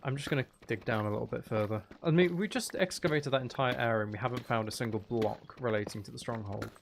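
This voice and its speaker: A man's voice: medium pitch, fast, gravelly, slight background noise. A man giving casual, rambling gameplay commentary, thinking aloud as he plays. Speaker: gaming video creator playing Minecraft.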